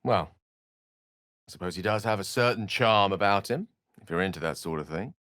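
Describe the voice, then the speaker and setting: A man's voice: low-pitched, normal speed, fairly bright tone, dry recording, clean studio sound. A man voicing an eloquent, wry, learned wizard for a video game, speaking in an articulate, wordy, theatrical manner. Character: eloquent, learned adult male human wizard, wry and courteous. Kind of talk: video game character voice lines.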